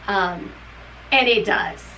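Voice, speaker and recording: A woman's voice: medium pitch, slow pace, sharp tone, a little hiss or hum, low-quality recording. Middle-aged lady rambling about a book she liked, laughs a lot, home room w/ bookshelves. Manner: chatty, rambling, laughs often. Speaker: book club co-host. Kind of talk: casual book review chat.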